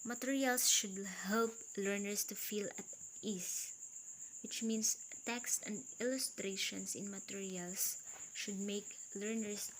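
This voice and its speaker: A woman teacher with a Filipino accent and Cebuano as her first language, reading points from slides in a recorded video lecture, slow and deliberate. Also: mid-range voice, slight background noise, poor audio. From Philippines.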